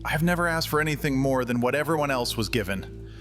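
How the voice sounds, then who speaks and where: An actor playing a bitter, mocking, thousand-year-old vampire exile with a theatrical, sardonic delivery in an audio drama. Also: male voice, mid-range voice, animated, fast talker, a bit bright, faint music behind, natural voice. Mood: bitter, aggrieved. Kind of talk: dramatic audio fiction acting.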